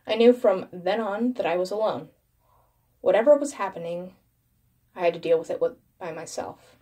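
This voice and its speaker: A woman's voice: lowish voice, animated, moderate pace, dark tone. A woman reading a first-person horror story as a dramatic scripted narration.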